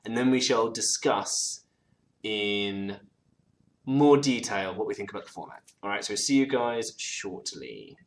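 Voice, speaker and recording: A man's voice: lowish voice, expressive, a little slow. A man, co-hosting and chatting through an online card game, restarting words and murmuring card names. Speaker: co-host playing a card game. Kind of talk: casual gameplay commentary. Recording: faint background noise.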